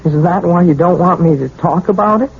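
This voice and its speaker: An actor playing a lovestruck twenty-year-old piano student in a radio drama, earnest, halting and insistent. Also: male voice, high-pitched, gravelly. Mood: pleading, ardent. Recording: muffled narrowband radio recording with noise.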